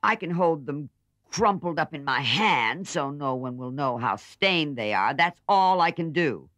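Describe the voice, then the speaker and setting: A woman's voice: deep voice, expressive, measured pace, a bit bright, no echo, voice pushed up. A woman voicing a blunt, careless teenage tomboy sister in a storybook, offhand in manner. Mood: defiant. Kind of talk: storybook character reading.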